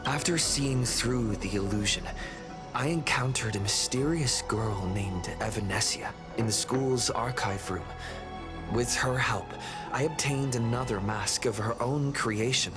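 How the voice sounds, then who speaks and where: A man narrating a video game's story recap as its young hero, in a measured, solemn storytelling manner. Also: mid-range voice, lightly acted.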